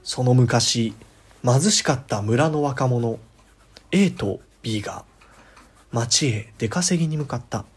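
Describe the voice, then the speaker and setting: Male narrator for scripted ghost tales, husky voice, theatrical delivery that sinks into hushed tones. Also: medium pitch, takes it slowly. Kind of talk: scripted dramatic storytelling narration.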